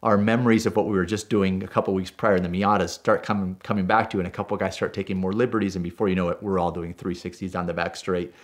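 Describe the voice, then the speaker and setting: Deep-voiced man telling a story. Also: quick pace, hardly any pauses. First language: Spanish. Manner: animated, chatty storyteller. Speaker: driving instructor. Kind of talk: casual storytelling.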